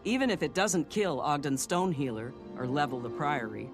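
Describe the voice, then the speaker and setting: An actor playing a confident male assassin of a secret order in a fantasy video game, deliberate and coolly eager. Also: on the high side, lively intonation. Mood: confident, approving. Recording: prominent music behind the voice.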